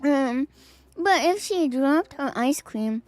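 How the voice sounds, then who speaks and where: A woman's voice: sits high, expressive, takes it slowly, faint music behind. A woman streamer reading viewer fanfics aloud on a live stream, playful and giggly, with teasing asides.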